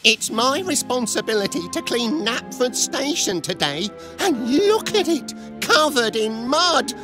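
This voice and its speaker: A woman's voice: sits high, sing-song, a little slow, music in the background. A woman voicing a friendly, earnest boy steam engine in a children's cartoon, emphatic and easily flustered. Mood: fretful, dismayed.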